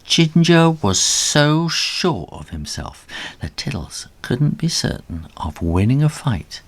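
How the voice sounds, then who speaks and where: Male storyteller, children's book narration, very animated, gravelly, unhurried, dramatic. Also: sits low. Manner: dramatic, with acted sound effects. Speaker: author reading own story aloud.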